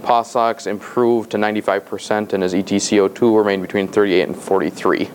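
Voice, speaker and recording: A man's voice: fairly low pitch, flat delivery, fairly quick, noisy background. A man speaking as a paramedic, giving a matter-of-fact, methodical clinical patient report.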